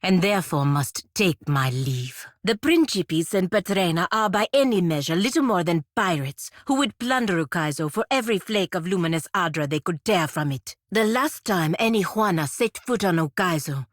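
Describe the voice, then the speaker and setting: Proud fantasy warrior woman, stern patriot. Low, dry-recorded voice, measured and formal game dialogue. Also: animated, no room sound. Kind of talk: scripted video game character dialogue.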